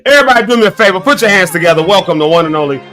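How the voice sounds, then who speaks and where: A man's voice: high voice, expressive, rapid-fire, bright, forceful tone. A man in his thirties hosting a casual morning livestream, talking straight to his viewers in a folksy, preachy way and fighting a cough. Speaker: morning show host. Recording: faint music behind.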